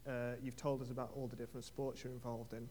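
Guy asking rambly hesitant interview questions, mid pitch, echoey hall. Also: flat delivery, quick pace, darkish tone, a little hiss or hum.

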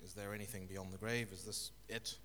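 A middle-aged man from Zimbabwe, a guest telling his life story in an interview, with thoughtful, halting speech and many restarts. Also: fairly low pitch, level tone, bright, forceful tone. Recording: echoey hall with faint noise.

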